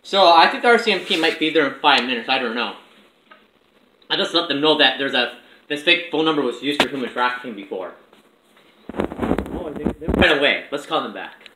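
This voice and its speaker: Young man, casual prank caller, animated intonation, echoey room, faint noise. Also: medium pitch, normal speed, long pauses. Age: young adult. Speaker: prank caller filming himself. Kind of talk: casual chat and prank calling. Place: small room indoors at a table.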